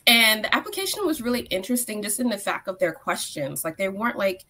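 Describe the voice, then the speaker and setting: A young woman, a guest on a video call, telling a story in a lively way with many "like"s and acted-out quotes. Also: medium pitch, fast. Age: young adult. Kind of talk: casual video-call chat. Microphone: video call, laptop mic.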